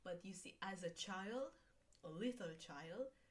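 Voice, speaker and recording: A young woman from Romania, speaking English, talking to camera in a personal vlog, slow and hesitant with many fillers. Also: mid-range voice, animated. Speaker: vlogger.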